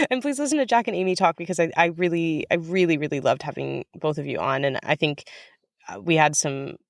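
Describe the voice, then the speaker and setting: A woman's voice: low-pitched, normal speed, no room sound. Playful, wry woman guest, dry sound. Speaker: podcast guest, writer and podcaster. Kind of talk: casual chat.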